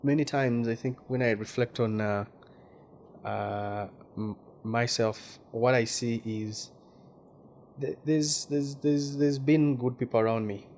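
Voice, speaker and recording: A man's voice: mid-range voice, steady tone, takes it slowly, darkish tone, a little hiss or hum. A man from Western Uganda with a Ugandan accent, speaking as a guest journalist in a casual interview and often repeating words while he searches for them.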